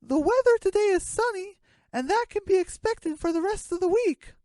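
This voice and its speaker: A woman voicing an excitable, giggly, mischievous young chicken prankster in a comic radio play. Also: very high voice, normal speed, no echo, pitched above the performer's own voice. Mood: mock newscaster.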